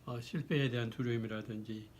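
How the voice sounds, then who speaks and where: A man, hoarse from a cold, giving an explanatory talk in a formal, deliberate way with halting phrasing. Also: mid-range voice, fairly even intonation, darkish tone, a little hiss or hum. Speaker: lecturer. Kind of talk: explanatory talk on social issues.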